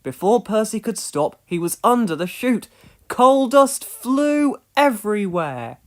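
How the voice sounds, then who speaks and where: A storyteller reading a scripted children's read-along with expressive delivery. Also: medium pitch, measured pace. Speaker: storybook narrator.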